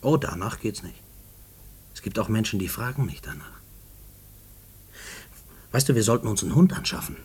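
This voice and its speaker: An actor playing an uneasy, haunted man in a German radio play, speaking in a brooding, halting way. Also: lowish voice, expressive, darkish tone, husky. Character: haunted, uneasy husband and condemned man, John.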